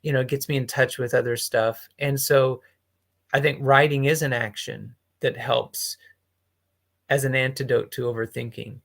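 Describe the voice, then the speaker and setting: A man's voice: medium pitch, steady tone, normal speed, creaky, a bit bright. Reflective male host thinking aloud. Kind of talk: casual conversation.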